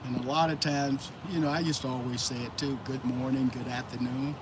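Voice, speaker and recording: Man talking over loud traffic. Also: medium pitch, level tone, fairly quick. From Florida, USA. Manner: loose and conversational, answering chat viewers by name. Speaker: livestream host. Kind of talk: casual motivational livestream talk. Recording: loud traffic noise outdoors. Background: loud traffic noise.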